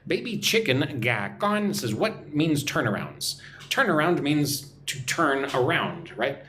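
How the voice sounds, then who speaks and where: A man's voice: mid-range voice, fairly bright tone, slight background noise. A young man from Texas hosting a live English lesson, reading out viewers' comments and answering them in a chatty, teasing way. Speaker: English teacher and livestream host. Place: home room in Vietnam.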